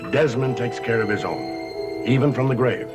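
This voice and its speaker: A man's voice: medium pitch, natural voice. Man playing a cryptic old gothic grandfather, music behind. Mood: grave, brooding. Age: older. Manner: theatrical, measured, portentous. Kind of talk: gothic television soap acting.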